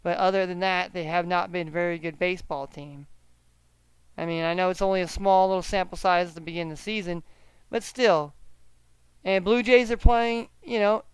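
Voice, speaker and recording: A man hosting a casual solo sports betting chat, often halting and repeating himself as he talks through his picks. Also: sits high, fairly even intonation, smooth, dry recording, poor audio. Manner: halting, repeats words, hedging gut calls. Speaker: sports betting show host.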